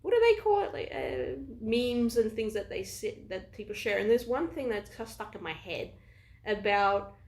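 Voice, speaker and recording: A woman's voice: on the high side, big pitch swings, moderate pace, a little mellow. Afrikaans-accented woman chatting in an echoey room. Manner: rambling, restarts often, thinks aloud. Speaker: podcast host. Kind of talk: casual chat. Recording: echoey room with faint noise.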